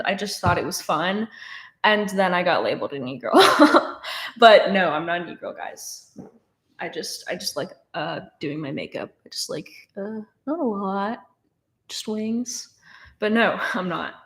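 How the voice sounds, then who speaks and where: Young woman, 19, relaxed live-stream Q&A host, chatty with filler words, roomy webcam sound. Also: mid-range voice. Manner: casual, chatty, many fillers and restarts. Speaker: streamer answering chat questions. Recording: roomy echo.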